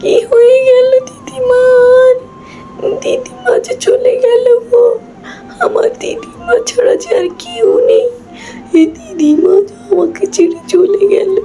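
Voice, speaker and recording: A woman voicing a devoted, grieving village grandchild in a cartoon, with a high and plaintive voice. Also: mellow, heavily acted, low-quality recording. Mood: wailing grief.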